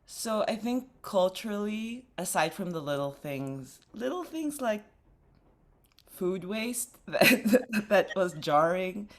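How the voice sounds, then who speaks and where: A woman's voice: mid-range voice, lively intonation, slow. A young woman from the Philippines, a dancer and artistic director, talking reflectively in a casual interview with many fillers and restarts.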